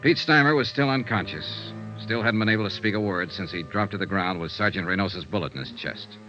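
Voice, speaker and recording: A man's voice: sits low, lively intonation, a little fast. A man playing a wry, hardboiled private investigator narrating his case in clipped 1950s radio drama style. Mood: somber. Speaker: narrator and lead detective. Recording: dull vintage radio recording with loud music.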